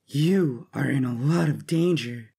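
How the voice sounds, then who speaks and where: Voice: mid-range voice, a little slow, gravelly, dark tone, husky, exaggerated voice. A man voicing a tough, determined young woman rebel leader in an audio drama, terse and resolute. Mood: stern concern.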